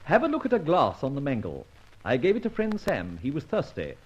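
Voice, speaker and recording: An actor playing a suave, playful amateur sleuth in an old-time radio detective drama, with flowery, teasing speech. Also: male voice, medium pitch, huge pitch swings, a little fast, husky. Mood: jaunty, assured. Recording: dull, muffled old radio recording.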